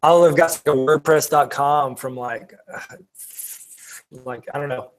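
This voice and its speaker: A man's voice: a little high, steady tone, slow, low-quality recording. A man co-hosting a podcast, chatting casually and jokingly with friends. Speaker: podcast co-host running a game segment.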